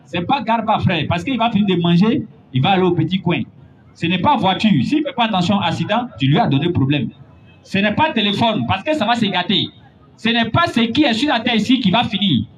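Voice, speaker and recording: A man preaching a sermon, building to emphatic, drawn-out points. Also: high voice, lively intonation. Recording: dull lo-fi recording with faint noise.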